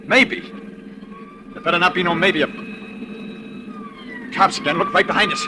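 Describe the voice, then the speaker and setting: Jumpy gangster sidekick, voice all over the place, goading slang, noisy 40s radio drama. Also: male voice, sits high, wildly swooping pitch, a little slow, heavily acted. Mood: menacing, needling. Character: trigger-happy, excitable gangster henchman. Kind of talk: 1940s radio acting. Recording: noisy vintage radio recording.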